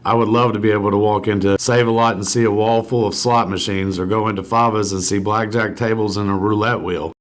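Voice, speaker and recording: A man's voice: lowish voice, fairly even intonation, fairly quick, few pauses, lightly acted. A man playing an easygoing, civic-minded small-town roofer in a cartoon, agreeable and earnest. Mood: game, easygoing. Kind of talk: animated comedy dialogue.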